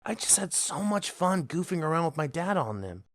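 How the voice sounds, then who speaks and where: Expressive male story narrator, very varied intonation. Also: on the high side, normal speed, no echo, clean studio sound. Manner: expressive, boyish, earnest. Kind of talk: scripted first-person horror story narration as a child.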